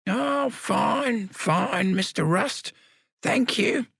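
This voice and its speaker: A toothless old man in his nineties, voiced by a man reading a story, speaks in a shrill, piping voice. He is stubborn and cantankerous, and his pitch jumps high and swings widely. The sound is close and dry. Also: lively intonation, extremely slow, vocal fry, fairly bright tone, breathy edge, no room sound, heavily acted. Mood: frail.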